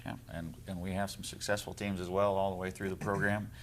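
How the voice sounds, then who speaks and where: A man's voice: sits low, level tone, brisk, fairly bright tone, slight background noise. A man with an American accent answering casually in an interview as a basketball coach. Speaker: boys basketball coach and teacher.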